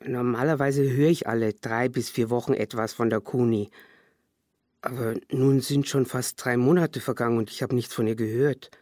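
Eine Schauspielerin spielt in einem Hörspiel eine besorgte, fromme Frau, die ernst und ängstlich stockend spricht. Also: female voice, extremely low pitch, steady tone, moderate pace, warm tone, no echo, clean studio sound. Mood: worried. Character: worried, pious relative reporting a young woman missing. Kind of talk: radio play monologue.

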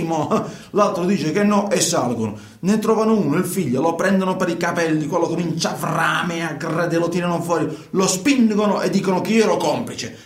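An actor playing a guilty, self-justifying truck driver in a radio play, with a southern Italian accent and a halting, repetitive, colloquial delivery. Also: male voice, high-pitched. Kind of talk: radio theatre monologue, colloquial confession. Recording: echoey room.

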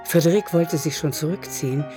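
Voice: mid-range voice, fairly quick, over music, lightly acted. A performer as a composed literary narrator, reading scripted narration in a radio drama. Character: composed literary narrator of a nineteenth-century novel.

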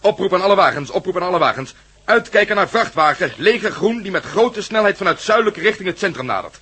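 An actor in a radio drama playing a harried, exasperated police inspector, husky and urgent, with clipped commands. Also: male voice, sits high, lively intonation, quick pace, sharp tone, heavily acted. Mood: urgent, commanding.

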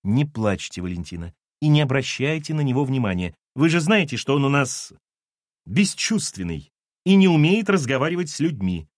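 A man voicing a brisk, scolding wife and mother in an audiobook, with a clipped, commanding manner. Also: mid-range voice, big pitch swings, no room sound, studio quality, natural voice. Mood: soothing, biting.

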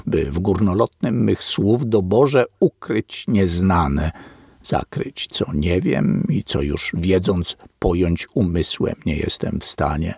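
A man giving a grave, measured reading of an old-style literary epilogue, with long pauses. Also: low voice, vocal fry, a little mellow, husky, no echo, studio quality. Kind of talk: slow solemn literary reading.

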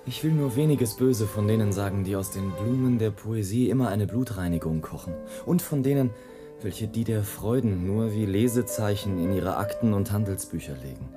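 An actor playing a romantic, ironic balloonist who narrates poetically and deliberately in a literary radio play. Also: male voice, fairly low pitch, expressive, music in the background, natural voice. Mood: ironic, charming.

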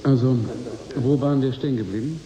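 A man's voice: medium pitch, steady tone, fairly quick, reverberant, muffled recording, heavy background noise, lightly acted. A man playing a cool, calculating business negotiator in a radio drama, speaking in a sardonic, emphatic manner. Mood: haggling.

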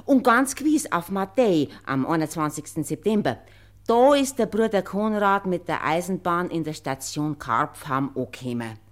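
A woman's voice: fairly low pitch, animated, normal speed, close to an everyday voice. An actress playing a devout farm woman with a Bavarian accent, respectfully recalling the past as testimony in a radio play. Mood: reverent, recollecting.

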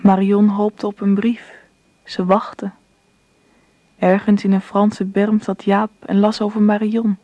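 A woman's voice: mid-range voice, level tone, takes it slowly, mellow, highs cut off, lightly acted. An actress playing a reflective woman narrator, reading scripted narration for a radio drama. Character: adult woman narrator telling the story, reflective.

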